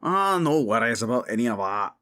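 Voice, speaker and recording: A man's voice: mid-range voice, big pitch swings, fairly quick, bright, forceful tone, slight background noise. A man voicing a dour but generous dwarf king with a blunt, commanding way, in a fantasy audio drama. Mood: brisk, dismissive.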